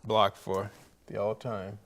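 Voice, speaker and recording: A man's voice: fairly low pitch, completely flat delivery, slow, creaky, fairly bright tone. An American man hosting a college sports show, asking questions in a conversational interview style.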